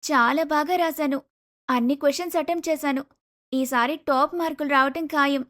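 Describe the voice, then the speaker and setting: A woman's voice: high-pitched, steady tone, fairly quick, a bit bright. A woman voicing an earnest, misunderstood younger sister who is a college student, sincere and pleading, in a cartoon story. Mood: eager, questioning. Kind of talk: acted cartoon moral story.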